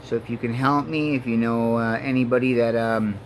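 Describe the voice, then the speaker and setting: Halting forty-something man, many fillers. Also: medium pitch, steady tone, a little hiss or hum, rough recording. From Mexico and Denver, Colorado. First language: Spanish. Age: 40s. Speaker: vlogger. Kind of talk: rambling personal monologue to camera.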